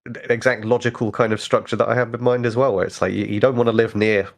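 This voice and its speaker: A man co-hosting a podcast, talking casually and tentatively as he reasons out loud about worldbuilding. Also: medium pitch, level tone, quick pace, gravelly. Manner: tentative, thinking aloud. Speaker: podcast co-host.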